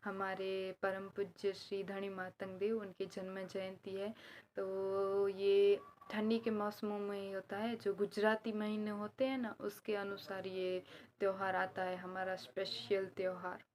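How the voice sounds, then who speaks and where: A young woman vlogging casually at home, a little hoarse from a sore throat, chatting at length as she explains family customs. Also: mid-range voice, completely flat delivery, a little slow, a little mellow, slight background noise. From Gujarat. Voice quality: slightly hoarse. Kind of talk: casual vlog chat. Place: indoors at home, inner room.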